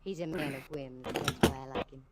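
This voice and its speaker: An actress playing a wisecracking female private detective who narrates her own case in a 1950s radio drama, in a brisk, breezy, wry manner. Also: rumbling low, heavy background noise. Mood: cool.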